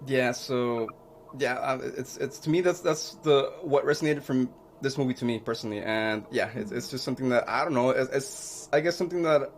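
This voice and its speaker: A man talking through his thoughts on a podcast, stopping and restarting often as he gropes for words. His pitch sits mid-range. A faint hiss runs under the recording. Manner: halting, repeats himself, says dude. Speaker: podcast co-host. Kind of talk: casual chat.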